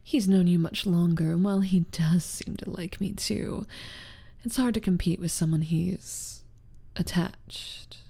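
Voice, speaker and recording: Slow, breathy, smug succubus rival played by a woman. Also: fairly low pitch, fairly even intonation, dark tone, full character voice. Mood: sly, seductive. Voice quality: breathy, half-whispered in places. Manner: drawling, teasing, taunting. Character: smug, teasing, seductive rival succubus, sly and confident. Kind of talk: ASMR audio roleplay acting.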